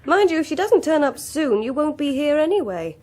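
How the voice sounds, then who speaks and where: An actress playing a breezy, teasing, droll young daughter with an English accent in a radio drama. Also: female voice, sits high, very animated, a little fast, a little mellow.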